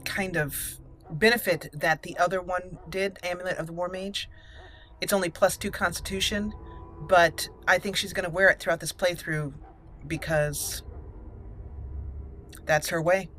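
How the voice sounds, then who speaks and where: A woman doing casual roleplay game commentary as a channel host, thinking aloud deliberately and reading out menu items. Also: on the low side, fairly even intonation, a bit bright, soft background music. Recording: noticeable background noise and game ambience.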